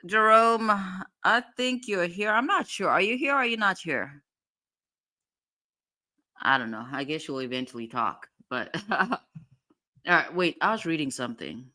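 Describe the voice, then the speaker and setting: Lady streamer chatting w viewers, kinda scattered, reads comments out, really bright sharp voice. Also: on the low side, expressive, unhurried, pauses often. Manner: distracted, reading comments aloud. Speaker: livestream host. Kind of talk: casual live chat with viewers.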